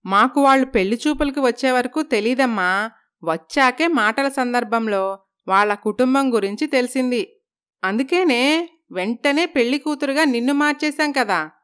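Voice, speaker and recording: Woman playing an old grandmother, envious, complaining, smooth clear voice, moral story. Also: high-pitched, normal speed, fairly bright tone. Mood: defensive.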